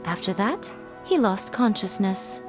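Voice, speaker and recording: A woman voicing a composed, teasing fox spirit shrine maiden in an English video game dub, unhurried and self-assured. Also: medium pitch, big pitch swings. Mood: explaining. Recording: music behind the voice.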